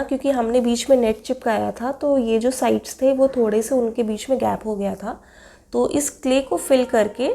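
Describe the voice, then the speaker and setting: Young woman, hoarse from a cold, chatty step-by-step craft voice-over, higher pitch, mellow tone. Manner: chatty, explains step by step with fillers. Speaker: craft video maker.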